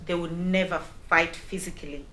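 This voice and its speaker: A woman, telling her life story candidly as an interview guest, animated and laughing as she talks. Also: on the low side, normal speed, echoey room, a little hiss or hum. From Kenya.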